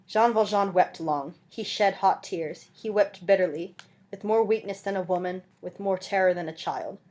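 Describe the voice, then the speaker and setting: A woman's voice: medium pitch, moderate pace, darkish tone. A woman reading a classic novel aloud as narrator, in an earnest, theatrical and emphatic manner. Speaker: amateur audiobook reader. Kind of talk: dramatic literary reading aloud.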